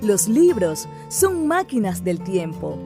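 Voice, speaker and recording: A woman narrates a scripted radio promo with lively, swooping intonation. Her voice sits at a medium pitch over prominent music. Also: takes it slowly.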